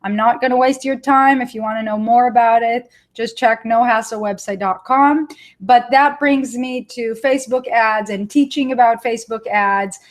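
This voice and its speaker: Israeli woman in her thirties, webinar trainer, chatty and warm-pitched, laughs easily. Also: fairly even intonation, moderate pace. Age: 30s. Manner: chatty, laughs often, talks to viewers by name. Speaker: online marketing trainer. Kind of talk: live webinar teaching.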